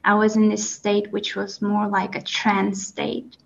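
A woman's voice: mid-range voice, completely flat delivery, vocal fry, lo-fi sound. A woman in her twenties from Kazakhstan, a guest telling a personal story earnestly, with hesitations and fillers. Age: 20s. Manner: earnest, halting, with frequent fillers.

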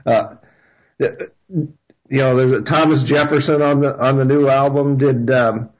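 A man hosting a radio interview, speaking haltingly with many fillers and repeated words. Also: medium pitch, steady tone. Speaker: radio host. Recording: dull lo-fi radio sound.

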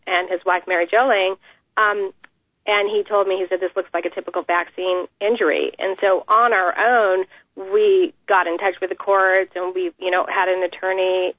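This woman speaks earnestly and steadily, pausing often with "um" as she tells her story. Her voice comes over a thin, tinny phone line. The sound is dry, with no room echo. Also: mid-range voice. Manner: earnest, measured, many "um" pauses. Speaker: interview guest, mother. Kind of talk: phone interview, personal account.

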